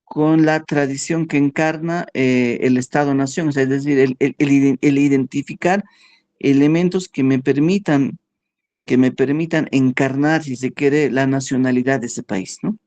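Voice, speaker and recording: A man giving a philosophy workshop lecture, talking in a halting way and checking in with his listeners all the time. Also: medium pitch, steady tone, a little mellow, lo-fi sound. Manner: slow, halting, with frequent "¿no?" and "¿ya?" checks.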